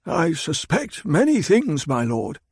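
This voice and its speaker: A man voicing a cold, sardonic old advisor who speaks in clipped, cutting sentences, pitched higher than the narration. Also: wildly swooping pitch, takes it slowly, a little mellow, breathy edge, no echo, heavily acted. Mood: scornful. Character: cold, scornful old male mentat advisor.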